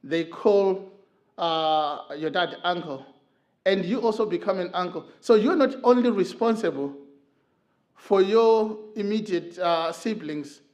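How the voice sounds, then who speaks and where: Man from Zimbabwe, middle-aged, higher pitch, earnest church talk with halting pauses. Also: a little mellow, dull audio. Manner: earnest, storytelling, frequent filler pauses. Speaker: church speaker. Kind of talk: talk on men's mental health. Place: church hall.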